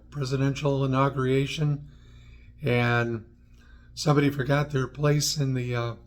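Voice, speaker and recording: American man giving conversational how-to advice. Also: mid-range voice. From New York, United States. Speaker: presenter of a how-to video series on public speaking. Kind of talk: instructional talk to camera.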